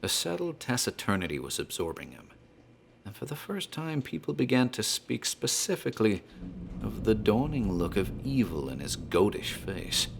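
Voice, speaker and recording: Low-voiced ominous tavern landlord storyteller. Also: male voice, big pitch swings, gravelly, a little hiss or hum. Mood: ominous, measured. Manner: measured, ominous, literary phrasing. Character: talkative rural tavern landlord telling a sordid local horror tale. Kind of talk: dramatic horror storytelling. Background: music.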